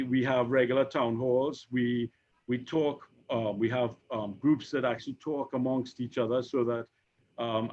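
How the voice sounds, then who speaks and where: A Trinidadian man in his fifties, an insurance executive speaking on a panel, who talks haltingly with many repeated words and fillers. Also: medium pitch. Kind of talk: conversational panel discussion. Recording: dull lo-fi recording.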